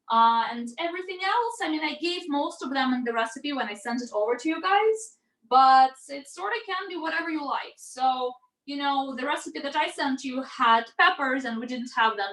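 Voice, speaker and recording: A woman's voice: high voice, a bit bright. A middle-aged woman from Russia with a Russian accent, chattily explaining as she leads a casual online cooking class. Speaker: home cook leading an online cooking class. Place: indoors at home, cooking. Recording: roomy, echoey home sound.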